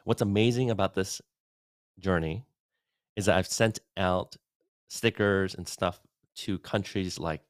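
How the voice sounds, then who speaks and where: Deep-voiced man in a dry home studio. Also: dry recording. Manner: relaxed, rambling, upbeat. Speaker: podcast host giving an update. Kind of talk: casual solo update. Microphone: studio dynamic mic at desk.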